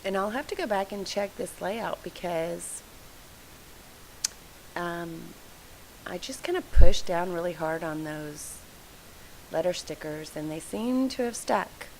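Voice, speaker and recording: Hoarse woman, lowish gravelly voice, rambling chatty craft vlogger. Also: lively intonation, takes it slowly, long pauses, a little hiss or hum, rough recording. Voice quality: froggy, gravelly voice. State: hoarse, congested from allergies. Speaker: scrapbooking video host. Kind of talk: casual voice-over narration of a craft process. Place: home scrapbook room.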